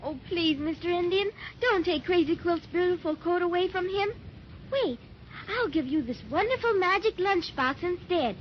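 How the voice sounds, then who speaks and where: Very high-voiced timid little girl. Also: normal speed. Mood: pleading, polite. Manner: polite, pleading. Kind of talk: 1930s children's radio serial acting. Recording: dull, noisy 1930s radio transcription.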